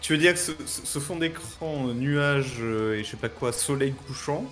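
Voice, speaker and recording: A man's voice: mid-range voice, normal speed, a bit bright, soft background music. A man co-hosting a casual live show, informal and a little hesitant, often repeating words. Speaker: show co-host.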